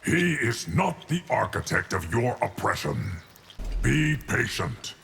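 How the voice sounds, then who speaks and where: An actor playing a solemn, patient, ageless guardian in a fighting game, speaking in a measured, grave way. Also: male voice, medium pitch, big pitch swings, vocal fry, fairly bright tone, slight background noise, full character voice. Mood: solemn, calm. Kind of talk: fighting game character dialogue.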